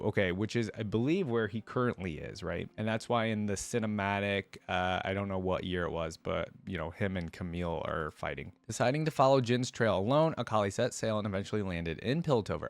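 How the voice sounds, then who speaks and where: A man hosting a reaction video, reading aloud and breaking in with casual, curious commentary as he thinks out loud. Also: fairly low pitch, dry recording. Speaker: host of a lore reaction video.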